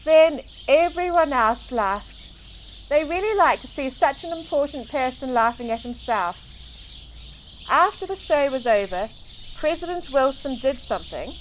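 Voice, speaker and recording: Female storybook narrator, high-pitched and very animated, expressive read-aloud for children. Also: a little slow, slight background noise. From New Zealand. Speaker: children's book reader. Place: outdoors in a garden. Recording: outdoor recording with clear birdsong. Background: birdsong.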